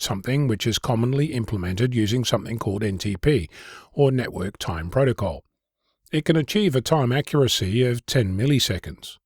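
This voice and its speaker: A man hosting an amateur radio program, reading scripted explanations in a measured, precise way. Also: medium pitch, breathy edge, dry recording, clean studio sound. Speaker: amateur radio podcast host. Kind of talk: scripted narration.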